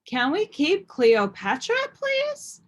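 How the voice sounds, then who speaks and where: Woman voicing an eager, high-pitched little schoolgirl. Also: huge pitch swings, slow, heavily acted. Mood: pleading. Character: eager, kindhearted young schoolgirl. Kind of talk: children's storybook read-aloud.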